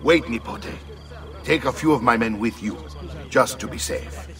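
A gruff, middle-aged Italian captain acted by a man for a video game, with an Italian accent and a gravelly edge to his voice. He speaks theatrically, like a man used to giving orders, over game music. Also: mid-range voice, normal speed, fairly bright tone, far from the mic, natural voice. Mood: commanding, resolute. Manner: commanding, theatrical. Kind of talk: dramatic video game voice acting.

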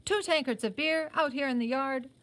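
A woman reading aloud as an arrogant but good-humoured young army lieutenant, brisk and commanding, in a storybook. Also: high voice, a bit bright, pitched above the performer's own voice. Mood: brisk, hearty. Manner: brisk, commanding, barked questions and orders.

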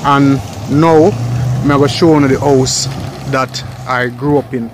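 A man's voice: mid-range voice, fairly even intonation, a little slow. A young Jamaican man vlogging on a rural road into a handheld phone, chatty and calling his viewers peeps and guys. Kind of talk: walking vlog and street interview. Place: outdoors on rural hillside road. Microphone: handheld phone camera. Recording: outdoor phone audio with clear background noise.

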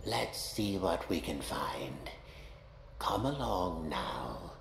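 Video game villain: elderly man, low and creaky, unctuous fatherly menace, roomy echo under loud ambient noise. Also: big pitch swings, heavily acted. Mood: coaxing, reassuring. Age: older. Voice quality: breathy, gravelly near-whisper. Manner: slow, unctuous, theatrical. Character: sinister old man, softly coaxing, with fatherly menace.

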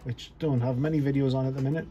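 A man's voice: medium pitch, steady tone, fast talker, dark tone, muffled recording. A man giving a casual fly tying tutorial at his desk, chatty and self-deprecating, thinking aloud as he works. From North East England.

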